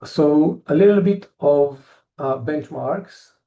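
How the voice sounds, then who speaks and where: A middle-aged man with an Israeli accent giving a technical presentation, halting often with fillers and restarts. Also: a little high, narrow, tinny audio. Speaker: tech company presenter.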